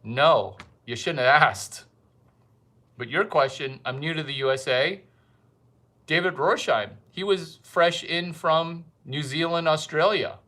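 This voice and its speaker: A man doing a rambling comic monologue, provocative and fond of repeating his catchphrases with drawn-out emphasis. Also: a little high, pushed and bright. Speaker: comedian host.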